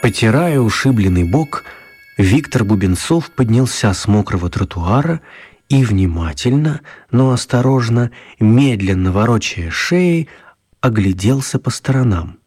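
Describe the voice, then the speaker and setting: A male actor as the storyteller of a children's radio play, narrating expressively in a husky voice. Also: mid-range voice, animated, a little slow, darkish tone. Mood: storytelling.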